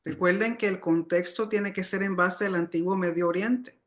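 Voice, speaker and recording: A woman's voice: on the low side, fairly even intonation, moderate pace. A woman giving a religious study lecture in a didactic, explanatory manner. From Puerto Rican family, born outside the island. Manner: didactic, explanatory, often reminding listeners. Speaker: religious teacher.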